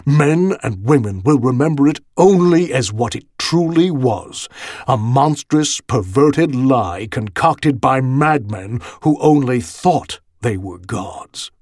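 A man's voice: medium pitch, darkish tone, no room sound, clean studio sound. A man voicing a cold, vengeful, ancient undead executioner in an audiobook, speaking with emphatic, menacing condemnation. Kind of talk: dramatic audiobook character dialogue.

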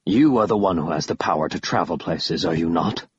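Man voicing a slow, low, menacing villain. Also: a little hiss or hum, close to an everyday voice. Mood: menacing, commanding. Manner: slow, cold, deliberate delivery. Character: menacing half-metal male villain, cold and calculating. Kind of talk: audiobook character voice. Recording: roomy echo, dull lo-fi sound.

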